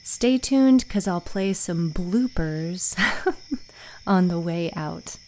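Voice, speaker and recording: A woman's voice: lowish voice, animated, very slow, mellow. A woman hosting a podcast, talking casually and thinking aloud with an informal, self-mocking manner. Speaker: host and author of a fiction podcast. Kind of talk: casual podcast talk and recording outtakes.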